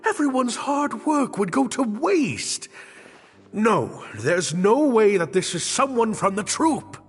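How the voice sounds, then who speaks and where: An actor playing a theatrical, high-strung troupe director in a video game, with grandiose, emphatic delivery. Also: male voice, very animated, slow pace, slightly rough, exaggerated voice. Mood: alarmed, indignant. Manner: grandiose, emphatic, florid phrasing. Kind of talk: dramatic game voice acting. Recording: reverberant studio voice with music. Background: background music.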